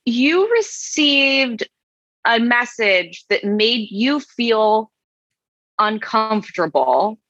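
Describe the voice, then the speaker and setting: Woman podcast co-host, bright forceful tone, wry dry delivery, slightly dull recording. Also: a little high, big pitch swings, drawn-out words, no room sound. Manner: wry, dry reading with asides. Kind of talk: casual podcast chat, reading comments aloud.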